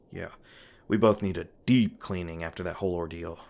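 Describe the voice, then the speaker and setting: A man's voice: sits low, fairly even intonation, moderate pace, creaky, darkish tone. A man playing an awkward, protective young monster hunter, speaking bashfully to the listener with frequent hesitations in an audio roleplay. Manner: hesitant, bashful, stumbling over words. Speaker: roleplay voice actor.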